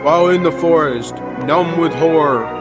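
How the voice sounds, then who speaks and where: A man's voice: fairly high pitch, normal speed, over music. A young man narrating an old-time radio adventure serial from a script. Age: teens to young adult.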